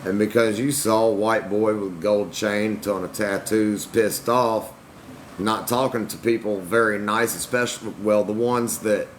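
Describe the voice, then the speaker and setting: A young man with a somewhat low voice, answering his livestream chat bluntly and defensively. A steady air-conditioning hum runs under everything he says. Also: poor audio. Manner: blunt, defensive, Southern-tinged slang. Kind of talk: casual livestream talk to chat.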